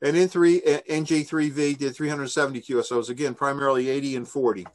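Older male presenter, conversational and enthusiastic, mid-pitched voice, informal online briefing. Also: fairly even intonation, fast. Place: small room indoors, on a video call. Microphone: video call microphone.

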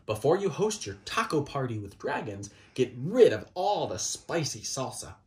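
A man in his thirties reads a picture book to children. His pitch swoops dramatically across a very wide range. The delivery is playful, exaggerated and theatrical. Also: very animated, moderate pace, a little mellow. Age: 30s. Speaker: storybook reader. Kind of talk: animated children's picture book read-aloud. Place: small child's room indoors.

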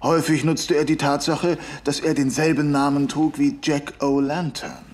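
An actor playing a dry, authoritative Victorian police inspector in an audio drama. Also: male voice, on the high side, flat delivery, moderate pace, a little mellow, close to an everyday voice. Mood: dry, businesslike.

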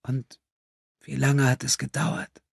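A man voicing a troubled, compassionate young woman in an audiobook, hushed, breathy and hesitant as she gently probes. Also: medium pitch, fairly even intonation, slow pace, no echo, clean studio sound, pitched above the performer's own voice, heavily acted. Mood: gentle, compassionate.

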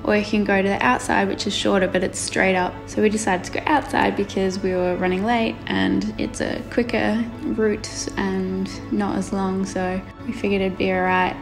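A young woman from Australia, casually narrating her painting video and telling a story, chatty and relaxed, laughing often. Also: mid-range voice, moderate pace, hardly any pauses. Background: faint background music.

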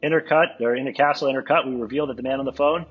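A man with a husky voice reading stage directions at a script table read. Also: mid-range voice, fairly even intonation, rapid-fire, dull audio, soft background music.

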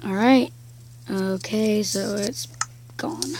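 A woman with a croaky voice giving casual gameplay commentary, slowly counting blocks aloud as she builds. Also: mid-range voice, background noise. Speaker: game video creator.

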